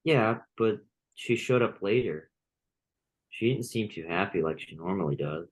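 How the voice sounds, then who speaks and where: A man's voice: lowish voice, lively intonation, measured pace. A man playing a naive, hopeful mouse friend in an audio drama, speaking plainly and a little hesitantly. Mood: concerned.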